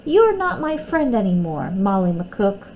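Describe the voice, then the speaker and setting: A woman's voice: mid-range voice, very animated, measured pace, a little mellow, a little hiss or hum. A woman voicing a bossy, quarrelsome young girl from a children's storybook, with emphatic delivery. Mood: indignant.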